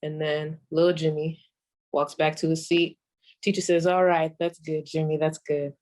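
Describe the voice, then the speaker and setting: A woman playing a sassy old 1940s radio comic, telling stand-up stories in a folksy, deadpan way with drawn-out pauses. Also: deep voice, close to an everyday voice. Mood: wry storytelling. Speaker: comedian performing a monologue.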